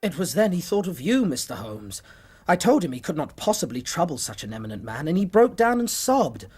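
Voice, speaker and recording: An actor in a period radio drama playing an earnest, anxious British doctor, formal, conciliatory and precise. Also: male voice, a little high, very animated, fairly quick, darkish tone, slightly rough. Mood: earnest, persuasive. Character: earnest, anxious, well-mannered Victorian male doctor.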